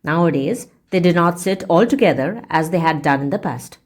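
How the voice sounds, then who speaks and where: A woman reading a story aloud as a teacher would, in an expressive, deliberate and emphatic read-along style. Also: on the low side. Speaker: audiobook reader and English teacher.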